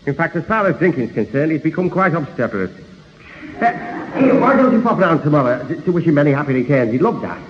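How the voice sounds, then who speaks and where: A daft, jovial neighbour, fumbles his words in a highish, very animated voice. It is radio comedy on a muffled old recording. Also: quick pace, big-room sound, slight background noise. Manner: chuckling, hesitant, malapropism-prone. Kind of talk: radio sitcom acting.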